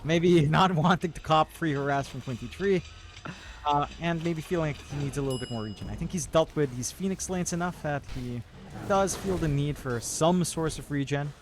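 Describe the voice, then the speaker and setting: A man's voice: a little high, faint music behind. Analytical male esports caster. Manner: brisk, analytical, joking asides. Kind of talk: live game commentary.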